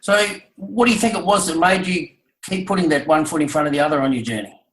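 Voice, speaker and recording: Interviewer: male, slightly high pitch, halting conversational phrasing, supportive interjections, strongly reverberant room. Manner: informal, halting phrasing, affirming. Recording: echoey room sound.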